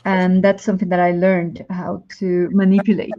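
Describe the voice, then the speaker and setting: A woman's voice: lowish voice, flat delivery, normal speed, lo-fi sound. Chatty woman with a Spanish accent. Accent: Spanish-speaking. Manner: chatty, thinks aloud with many ums. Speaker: Spanish teacher, guest. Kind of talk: casual chat on a live stream.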